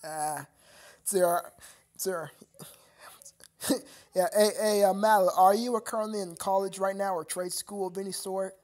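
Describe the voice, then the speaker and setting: A man's voice: high voice, steady tone, unhurried, pauses often, darkish tone. A young man chatting casually with viewers on his live stream, rambling and stammering with frequent laughter.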